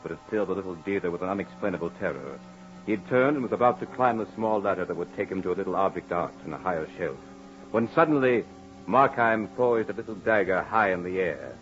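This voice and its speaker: Grave low-voiced male radio narrator. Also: animated, fast talker, a bit bright, faint music behind. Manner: grave, literary storytelling. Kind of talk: dramatic scripted narration, 1940s radio horror. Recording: muffled noisy old radio recording.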